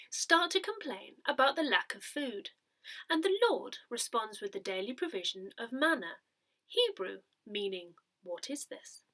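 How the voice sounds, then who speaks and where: A woman reading a scripted newsletter aloud in a measured, deliberate way. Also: fairly high pitch, fairly bright tone, poor audio. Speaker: newsletter reader.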